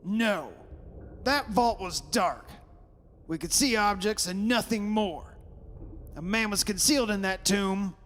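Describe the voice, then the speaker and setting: A man's voice: high voice, takes it slowly, fairly bright tone. A man playing a proud, sceptical father in a radio horror drama, arguing insistently. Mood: accusing. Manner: insistent, argumentative. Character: stubborn sceptical father, proud and blustering, rationalising away terror. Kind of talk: old-time radio horror drama acting. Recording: hissy recording in a reverberant hall.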